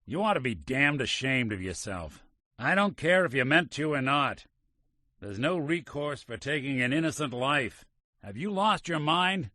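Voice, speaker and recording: An actor voicing a weary synth detective in a video game, a gruff middle-aged man with a dry, wisecracking manner. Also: mid-range voice, sing-song, unhurried, bright, forceful tone, no room sound. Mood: stern. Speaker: companion character. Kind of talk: game character barks.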